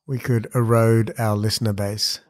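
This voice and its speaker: A man's voice: fairly low pitch, flat delivery, moderate pace. A man in his thirties co-hosting a movie podcast, with a dry, wry sense of humor. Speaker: podcast co-host. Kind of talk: casual chat.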